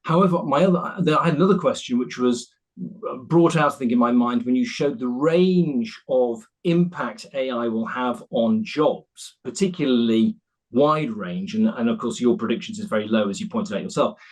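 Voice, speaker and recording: A man's voice: mid-range voice, normal speed. A man putting a blunt, sceptical question to a presenter in an online meeting. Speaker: audience member asking a question.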